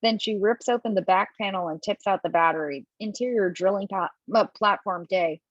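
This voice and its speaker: A woman narrating stage directions at a table read from home, lively and expressive, with small slips. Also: medium pitch, a little fast, no echo. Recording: dull lo-fi, dry.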